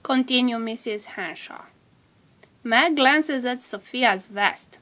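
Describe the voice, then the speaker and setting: Slow, higher-voiced woman reading a storybook. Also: a bit bright. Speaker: storybook narrator. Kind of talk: read-aloud children's storybook narration.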